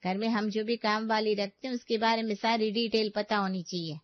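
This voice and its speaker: A woman's voice: mid-range voice, steady tone, brisk, dull audio, natural voice. A woman voicing a nosy middle-aged neighborhood aunty in a cartoon story, gossipy, teasing and prying.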